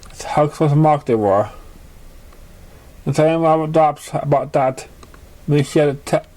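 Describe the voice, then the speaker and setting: A man's voice: fairly high pitch, unhurried, a little mellow. A man reading a story aloud as narrator, stumbling over words as he goes. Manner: stumbling, uneven reading with slips. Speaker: fan fiction reader.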